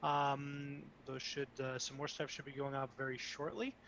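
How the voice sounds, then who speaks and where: A man who runs a photography studio, speaking casually and relaxed. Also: medium pitch, normal speed. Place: studio near Boston. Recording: dull lo-fi with faint noise.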